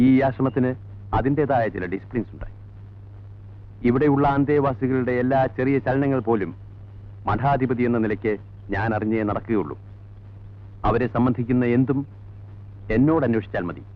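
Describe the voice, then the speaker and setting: A man's voice: medium pitch, close to an everyday voice. Man playing a formal head monk, tinny film sound. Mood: officious. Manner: formal, measured, officious. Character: formal, guarded male head monk of an ashram. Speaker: actor in a film. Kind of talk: 1980s film drama acting. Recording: tinny band-limited old film soundtrack.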